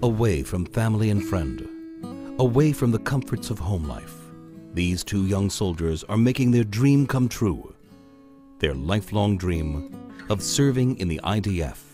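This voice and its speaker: A man reads documentary narration in a lowish, lively voice. His delivery is smooth and measured, like an announcer's. Faint guitar music sits underneath.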